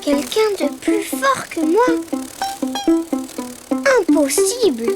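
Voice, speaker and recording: A woman voicing an excitable, proud little girl friend in a preschool cartoon, over loud music. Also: very high-pitched, takes it slowly, exaggerated voice. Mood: excited. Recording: loud background music track.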